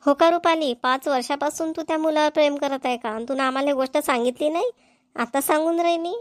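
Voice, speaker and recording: A woman's voice: high-pitched, fairly quick, no echo, clean studio sound, close to an everyday voice. A woman voicing a nagging, questioning village mother in a cartoon, with a rural Vidarbha Marathi accent. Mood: questioning, stern. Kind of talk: amateur cartoon comedy-drama dubbing.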